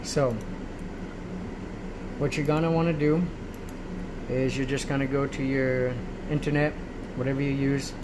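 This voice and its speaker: Man rambling casually over clear background noise. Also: mid-range voice, measured pace, long pauses. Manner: rambling, checks in with frequent "right?". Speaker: tutorial host. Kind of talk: casual software tutorial talk. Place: indoors in a room at home. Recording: noisy amateur home recording. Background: clear steady background noise.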